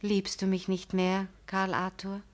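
A woman's voice: mid-range voice, monotone, unhurried, lightly acted. A woman playing a proud, passionate young fiancée in a radio drama, speaking emphatically and with dramatic feeling. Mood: pleading, tender. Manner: emphatic, dramatic, swinging from indignant to tender. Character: proud, passionate young fiancée pleading with her pastor beloved. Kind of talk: German radio drama acting.

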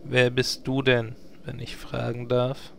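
A man playing a dry, unbothered fantasy adventurer with a gravelly voice in an audio drama parody. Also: medium pitch, monotone, slow pace, a bit bright, a little hiss or hum.

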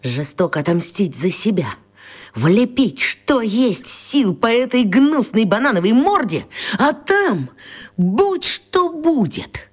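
A woman acting an expressive character in a comic children's radio play, with theatrical, drawn-out emphasis. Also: on the high side, husky, heavily acted. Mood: vengeful relish.

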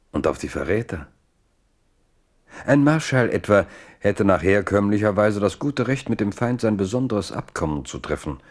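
A man playing the measured, serious narrator of a historical radio play. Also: low-pitched.

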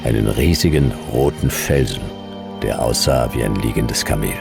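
An actor playing a laconic, hard-boiled detective who narrates a sci-fi radio drama in terse, deadpan phrases. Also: male voice, rumbling low, normal speed, vocal fry, music in the background, exaggerated voice. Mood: terse, brooding. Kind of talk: noir first-person radio drama narration.

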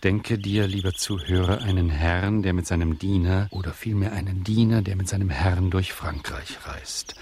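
An actor playing a wry storyteller who addresses the listener directly in scripted radio play narration. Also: male voice, sits low, moderate pace, few pauses, darkish tone, close to an everyday voice. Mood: inviting, wry. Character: storytelling male narrator confiding in his dear listener. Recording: very noisy track with birdsong, clicks.

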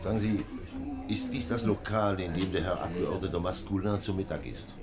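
An actor playing a calm, dogged middle-aged French police commissioner in a 1950s German radio drama, questioning in a measured, terse way. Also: male voice, low voice. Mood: politely businesslike. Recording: noisy vintage 1950s radio recording.

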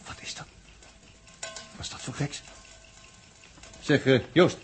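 A man's voice: mid-range voice, takes it slowly, lightly acted. An actor playing a chatty, wry crewman in a 1960s Dutch radio drama, rambling and repeating himself in a Netherlands accent. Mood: wry, alert. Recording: noisy 1960s radio recording.

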